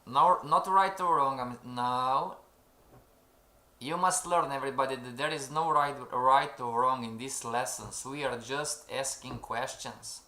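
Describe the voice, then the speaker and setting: A man leads a live-streamed trading lesson, often calling out to "everybody", checking in with "okay?" and reading out the chat. His voice sits in an ordinary middle pitch. The sound is roomy and echoey, with keyboard typing in the background. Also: fairly even intonation, measured pace, pushed and bright. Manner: keeps saying "everybody" and "okay?", reads out the chat. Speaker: trading instructor. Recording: roomy echo.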